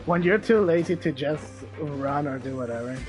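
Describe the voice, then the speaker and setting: A man's voice: on the high side, lively intonation, moderate pace. A man giving casual gameplay commentary as he streams. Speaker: game streamer. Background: game music.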